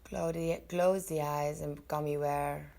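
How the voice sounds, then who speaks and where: Very slow, low-pitched woman guiding meditation. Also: steady tone, gravelly, a little mellow. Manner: soothing, unhurried, with long pauses between short phrases. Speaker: podcast host and yoga nidra guide.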